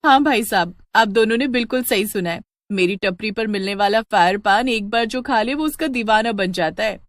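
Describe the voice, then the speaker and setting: A woman voicing a kind, dutiful village paan seller, polite and earnest, in an animated story. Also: medium pitch, moderate pace, no echo, clean studio sound, lightly acted. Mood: polite, cheerful. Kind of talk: animated Hindi storybook acting.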